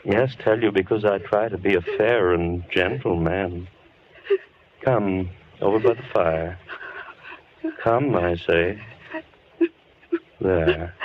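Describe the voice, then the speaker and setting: A man's voice: low voice, slow pace, pauses often. An actor playing a disfigured madman who coaxes a young woman close, in an old radio drama. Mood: coaxing. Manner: soft, coaxing and menacing. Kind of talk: 1930s radio drama acting. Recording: dull old radio broadcast with hiss.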